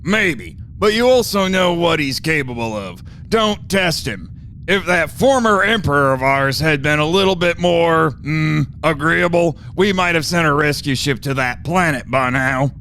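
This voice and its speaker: A man playing a brash, slangy monster brother in an audio drama, with a creaky, cocky delivery. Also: a little high, lively intonation, a little slow, a little hiss or hum, heavily acted. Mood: cocky, taunting. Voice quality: creaky, sharp. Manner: casual, cocky.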